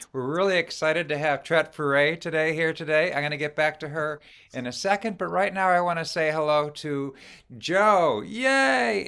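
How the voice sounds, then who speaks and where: A man's voice: a little high, fairly bright tone, clear, resonant tone. A man hosting an online sing-along over a video call, chatty and cheerful, greeting viewers by name. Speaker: host.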